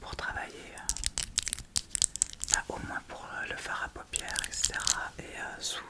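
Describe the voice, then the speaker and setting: A woman whispering softly and informally through an ASMR makeup tutorial, with hesitant, unhurried phrasing. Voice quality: soft unvoiced whisper. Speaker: ASMR video creator.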